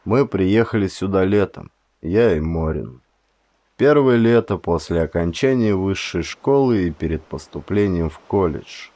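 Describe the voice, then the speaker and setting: A man narrating an audiobook in a slow, brooding first-person voice. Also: sits low, expressive, dark tone, no echo.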